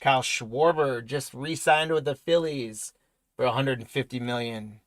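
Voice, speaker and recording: A man hosting a live trading card break, rattling off player names and congratulating viewers, his voice going hoarse. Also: medium pitch, normal speed, a bit bright, no room sound. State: voice weakening, losing it.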